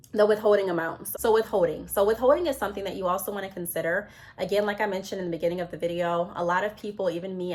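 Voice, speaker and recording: Congested woman giving casual advice, lowish voice. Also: a little mellow. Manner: chatty, frequent ums, reads from notes. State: congested, sick with a cold. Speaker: business vlogger. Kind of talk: casual advice talk to camera.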